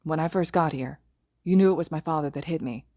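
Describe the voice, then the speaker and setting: A woman voicing a quiet young man who speaks softly and later screams in grief in a fan audiobook. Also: sits low, brisk, no echo, natural voice. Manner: soft, then screaming. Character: soft-spoken scarred young man, grieving.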